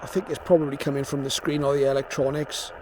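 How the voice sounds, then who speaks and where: A man's voice: mid-range voice, level tone, fast, noisy background. A man giving an informal, matter-of-fact product demonstration, explaining things as he goes. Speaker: presenter of a radio receiver review.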